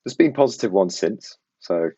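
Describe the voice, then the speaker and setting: A man's voice: lowish voice, lively intonation, normal speed, mellow, slightly rough, no room sound, dull audio. A young man who grew up in Belgium, with an international English accent carrying faint West Midlands traces, chatting casually and self-deprecatingly as a podcast guest.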